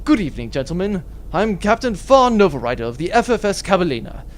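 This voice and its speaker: A man's voice: high voice, sing-song, fast talker, background noise. A man playing a cocky, glib spaceship captain in a grandiose, theatrical way for a comedic sci-fi audio drama. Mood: breezy bravado.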